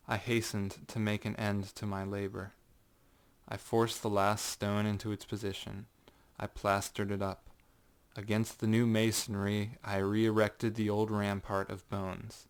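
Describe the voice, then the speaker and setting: A man playing a cold, vengeful gentleman who narrates a gothic tale in a theatrical, deliberate way that can swell in force. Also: lowish voice, little pitch movement, clean tone. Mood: cold, grim. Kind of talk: dramatic gothic audiobook narration.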